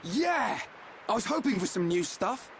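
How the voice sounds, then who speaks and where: A man's voice: sits high, very animated, measured pace, creaky, background noise, heavily acted. An actor playing a brash, eager young male fighter in a video game cutscene.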